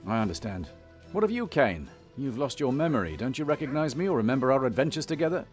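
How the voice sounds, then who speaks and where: Man as an earnest, lively warrior leader. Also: medium pitch, quick pace, faint music behind, lightly acted. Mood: warm, formal. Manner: earnest, commanding. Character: earnest young male warrior leader, noble and resolute. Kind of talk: fantasy video game voice acting.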